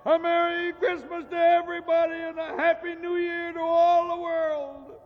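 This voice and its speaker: A man reading aloud and voicing an elderly male miser, giddy with joy, with a husky voice and breathless exclamations and chuckles. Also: very high voice, flat delivery, normal speed, in a large hall, phone-quality sound, pitched above the performer's own voice.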